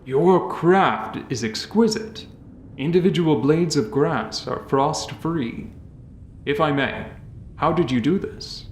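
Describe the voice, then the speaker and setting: A man's voice: on the high side, slow pace. A man playing a courteous, restrained dwarf who speaks formally and politely in an audio drama. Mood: admiring. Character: courteous, earnest, restrained male dwarf in armor. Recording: roomy echo, faint noise.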